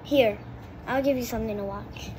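A woman's voice: a little high, moderate pace, background noise. A young girl vlogging casually at a kitchen table, rambling and restarting her sentences as she talks to her viewers. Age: child. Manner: chatty, rambling, restarts often. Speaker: kid vlogger. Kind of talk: casual chat to camera. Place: home kitchen table by a window. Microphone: phone propped on the table.

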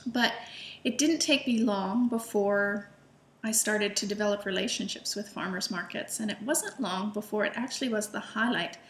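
A woman's voice: mid-range voice, moderate pace. Chatty woman in a roomy space. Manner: chatty, enthusiastic, with frequent fillers and restarts. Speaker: illustrator and food vlogger. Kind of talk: casual personal storytelling to camera.

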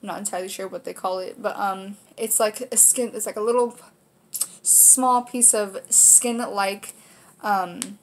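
Casting: American woman, late teens, mid-pitched voice, informal vlog host who explains things in a loose, rambling chat. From United States, Kansas. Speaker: video host. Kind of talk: casual vlog chat to camera. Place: small room indoors.

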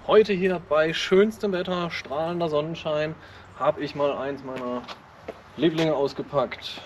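A man's voice: a little high, a little slow, noisy background. Chatty man showing off his car. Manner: enthusiastic, chatty, relaxed hobbyist talk. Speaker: car channel host. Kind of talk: casual car presentation vlog.

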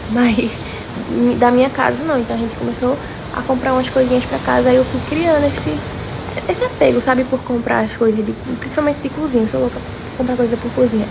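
A young woman with a Northeastern Brazilian accent chats casually to her followers. Her voice sits a little high, with a gravelly fry and a slight hoarseness. She is recorded on a handheld phone at home, with clear background noise. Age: 20s. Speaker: social media vlogger. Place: indoors at home, several rooms. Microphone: phone at arm's length.

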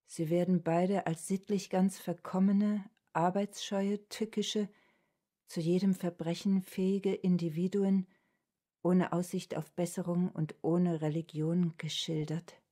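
An actress in a German radio drama playing a traumatised female cook from 1920s Vienna, halting and subdued. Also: on the low side, level tone, mellow. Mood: subdued reading aloud.

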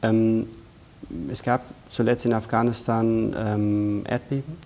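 A man's voice: on the low side, level tone, takes it slowly, darkish tone. A man in his thirties, a guest in a studio interview, speaking in an earnest, emphatic way. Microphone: lapel.

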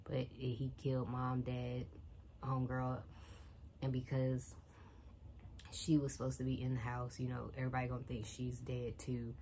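Low gravelly young woman chatting casually. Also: monotone, normal speed, mellow, a little hiss or hum. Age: young adult. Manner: rambling, retells a book plot loosely with many fillers. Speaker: vlogger. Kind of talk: casual chat while tidying. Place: small bedroom with bookshelf and TV, indoors. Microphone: phone or camera set on a shelf, she moves near and away.

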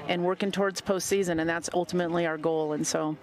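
A middle-aged woman, a head softball coach, giving an upbeat post-game interview on a headset mic outdoors, with fillers and restarts. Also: lowish voice, fairly even intonation, fast. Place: outdoor ballpark, beside the field.

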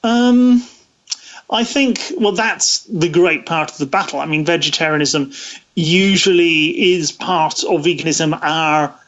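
A man being interviewed as a guest, explaining things in a halting, discursive way with false starts. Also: a little high, a bit bright, lo-fi sound.

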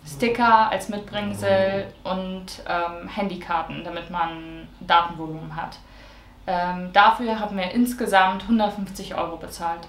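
A woman's voice: medium pitch, echoey room, slight background noise. A young woman, a travel vlogger, plainly listing trip expenses item by item to a camera in a bedroom. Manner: plain, listing items one by one. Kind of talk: scripted cost breakdown to camera. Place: bedroom with mosquito net, indoors.

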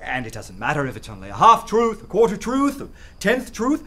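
An actor playing a witty, self-assured young poet in a radio play, courteous, dry and eloquent. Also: male voice, sits high, wildly swooping pitch, brisk, sharp tone, full character voice. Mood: teasing, calm. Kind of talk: full-cast radio play acting with first-person narration.

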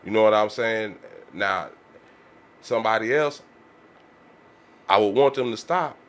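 A man's voice: lowish voice, unhurried, fairly bright tone. A man talking casually in a round-table discussion, colloquial and repeating himself for emphasis. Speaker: podcast panelist. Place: small home-style podcast studio. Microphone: podcast microphone on boom arm.

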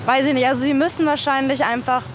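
A woman answering a street interview in a lively, casual way. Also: high-pitched, fast talker. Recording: noisy outdoor street.

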